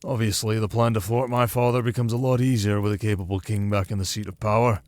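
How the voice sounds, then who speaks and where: A man's voice: fairly low pitch, steady tone, fast, darkish tone, no echo, natural voice. A man playing a wry young noble heir and plotter in a fantasy audio drama, speaking drily and formally. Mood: wry, conspiratorial.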